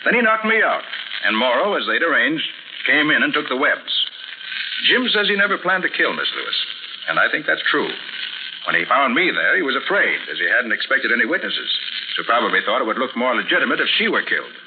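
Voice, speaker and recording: An actor playing a shrewd, self-assured private detective in a 1940s radio drama. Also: male voice, medium pitch, sing-song, a little fast. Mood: explaining, assured. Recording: tinny, noisy 1940s radio recording.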